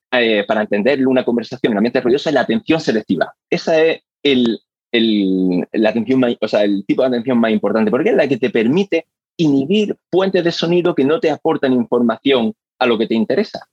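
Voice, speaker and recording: A man's voice: medium pitch, expressive, a little fast, darkish tone, breathy edge, dry recording, dull audio. A man explaining how attention and hearing work in an interview, thoughtful, often restarting and repeating words as he goes. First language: Spanish. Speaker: hearing research guest.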